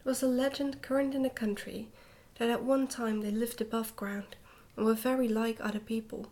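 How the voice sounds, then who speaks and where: A woman reading a storybook in a soft-spoken ASMR style, slipping often into a whisper, with a gentle, hushed delivery. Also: fairly high pitch, steady tone, normal speed. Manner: gentle, hushed delivery with some stumbles over words. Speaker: ASMR reader, channel host.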